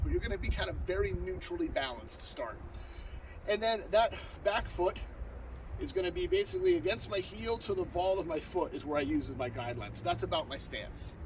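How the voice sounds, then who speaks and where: Fast-talking stuffy man teaching outdoors. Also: high-pitched. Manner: talks fast with frequent fillers and restarts, plain and earnest. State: stuffed up from a cold. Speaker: sword-fighting instructor. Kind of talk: casual outdoor tutorial. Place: backyard lawn outdoors. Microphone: far camera on a tripod. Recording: distant mic with outdoor noise.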